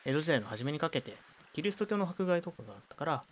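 A man's voice: on the high side, big pitch swings, fast, a little hiss or hum. A man playing a knowledgeable, dryly teasing university student, explaining things matter-of-factly in an amateur radio drama.

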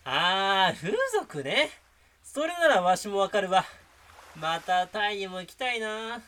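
A man playing a carefree, slow-witted, lecherous university student in an amateur radio drama. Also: high-pitched, animated, pushed and bright, clear, resonant tone, exaggerated voice.

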